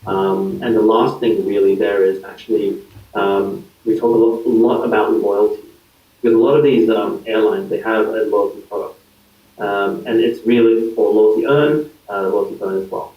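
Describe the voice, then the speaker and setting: A man in his thirties with an Australian accent, explaining his work as a guest in a relaxed interview, with many fillers and restarts. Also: lowish voice, normal speed. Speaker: guest, digital consultant. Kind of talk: casual interview answers. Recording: far from mic, echoey, dull lo-fi.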